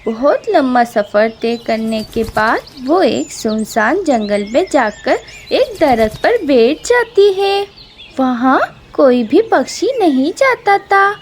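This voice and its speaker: A woman's voice: sits high, a little hiss or hum. A woman reading scripted narration for a children's story cartoon.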